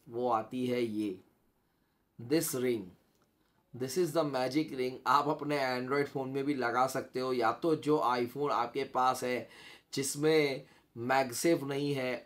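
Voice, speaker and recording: A man with an Indian accent and a mid-pitched voice presents a product in a casual reviewer style. He talks informally, slipping between Hindi and English phrases as he demonstrates and sells. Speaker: gadget reviewer and seller.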